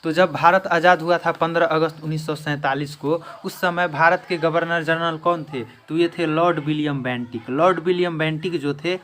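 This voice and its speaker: Bright-voiced man teaching exam questions. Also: fairly high pitch, steady tone, normal speed, few pauses. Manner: repetitive, emphatic, restates each answer. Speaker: teacher, exam-prep instructor. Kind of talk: question-and-answer exam lesson.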